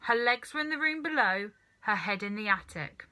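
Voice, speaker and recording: A woman narrating a children's story as a reader, in an animated storytelling style. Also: mid-range voice, normal speed.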